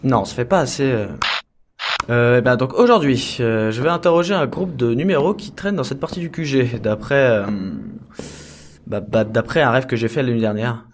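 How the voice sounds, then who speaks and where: A man's voice: mid-range voice, moderate pace, natural voice. A man playing a flustered, sarcastic henchman clone, rambling and exasperated in a comedic audio drama. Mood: rambling, uneasy.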